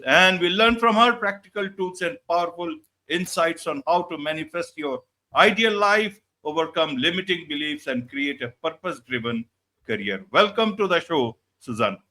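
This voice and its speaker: A man's voice: on the high side, pushed and bright, rough recording. A man hosting an online interview, opening formally and then asking loose questions with frequent "you know". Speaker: show host.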